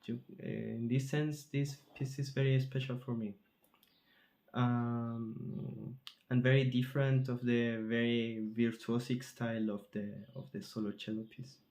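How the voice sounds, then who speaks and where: A man from Chile, a composer answering interview questions thoughtfully, often pausing and searching for words. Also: medium pitch, flat delivery, a little mellow. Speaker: composer in residence.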